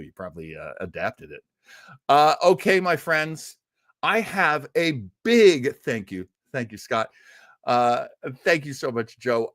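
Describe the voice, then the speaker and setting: A man's voice: mid-range voice, a bit bright, no echo, studio quality. A man hosting a podcast, wrapping up the episode in casual, rambling chat with self-deprecating asides. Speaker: podcast host.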